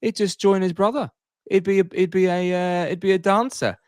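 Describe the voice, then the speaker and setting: A man's voice: sits high, steady tone, fairly quick, a little mellow, no room sound, studio quality. A man in casual podcast conversation, rambling along in a playful, jokey way. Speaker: podcast co-host.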